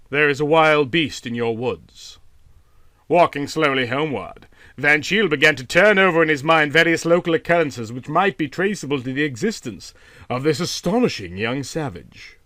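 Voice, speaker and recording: A man narrating a literary story from a script in a dramatic, expressive storytelling manner. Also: a little high, a little fast, dry recording, clean studio sound. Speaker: audiobook narrator.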